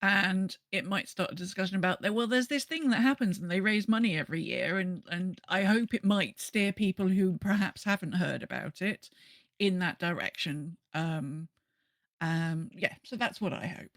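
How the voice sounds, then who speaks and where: A woman's voice: on the low side, normal speed, fairly bright tone, no room sound. Woman co-hosting a casual podcast, dry close sound. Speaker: podcast co-host. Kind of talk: casual gaming podcast chat.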